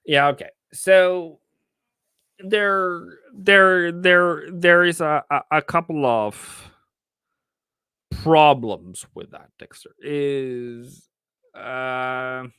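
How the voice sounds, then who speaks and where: A man's voice: fairly high pitch, very slow, bright, forceful tone, clear, resonant tone, dry recording, clean studio sound. A man with a Norwegian accent, hosting a live trading stream, thinking aloud with many restarts and fillers and reading out chat. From Norway. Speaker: trading livestream host. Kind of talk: casual livestream commentary.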